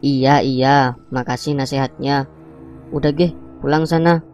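A man voicing a skeptical but easily frightened young college student in a cartoon, colloquial and expressive, with a Jakarta accent. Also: mid-range voice, measured pace, faint music behind, natural voice. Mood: dismissive. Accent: Jakarta Indonesian. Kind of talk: animated cartoon dialogue.

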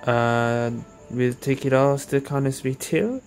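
Male gamer host, mid-pitched, casual playthrough commentary with faint music behind. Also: takes it slowly. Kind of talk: casual game playthrough commentary, reading choices aloud.

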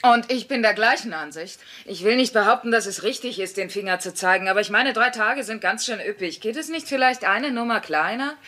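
A woman's voice: fairly high pitch, animated, fairly quick, hardly any pauses, bright, forceful tone. An actress in a German sitcom dub playing a brash, sardonic working-class mother, blunt and full of wisecracks. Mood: wry.

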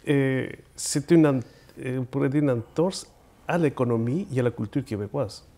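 Middle-aged man with a Chilean Spanish accent, dark mellow tone, earnest TV studio guest who halts and repeats words. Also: mid-range voice. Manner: earnest, halting, repeats words while searching for them. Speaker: guest poet and speaker. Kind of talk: studio interview answers.

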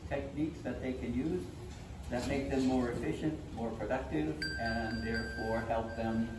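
A man's voice: mid-range voice, steady tone, normal speed. A man giving an explanatory educational talk as a presenter. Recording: roomy, distant, noisy playback or hall.

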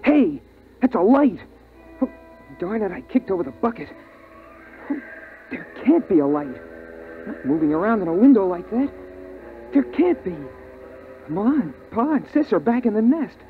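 An actor playing an earnest, excitable boy in a 1950s radio drama, with an eager, boyish manner. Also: high-pitched, normal speed, pauses often, soft background music. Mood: startled. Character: earnest, excitable boy who scavenges air. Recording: tinny band-limited 1950s radio recording.

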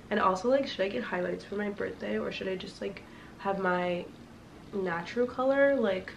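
Young woman, sick and congested, gravelly fry, rambling fillers, slightly distant mic, faint noise. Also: mid-range voice. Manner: chatty, rambling, lots of fillers. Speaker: fashion vlogger. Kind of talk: casual chat to viewers.

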